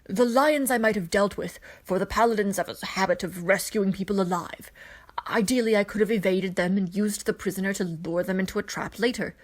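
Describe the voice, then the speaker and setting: A woman voicing a frightened, cowed male alien commander in a fan fiction reading, hoarse, pleading and stammering under strain. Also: medium pitch, a little fast, close to an everyday voice. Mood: nervous, strained.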